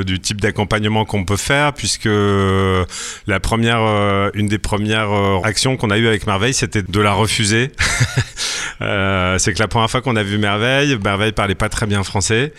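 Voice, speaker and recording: Man, association spokesman, very low pitch, smooth clear voice, hesitant explaining. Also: steady tone, moderate pace, a bit bright. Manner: explanatory, with frequent euh fillers. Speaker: association representative. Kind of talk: interview answers.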